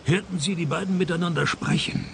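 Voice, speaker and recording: An actor playing a dry, sardonic private detective in a radio play, with a deadpan, wry delivery. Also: male voice, a little high, a little fast, vocal fry, slight background noise. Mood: probing. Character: dry, sardonic male private detective narrating his cases. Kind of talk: radio play narration and dialogue.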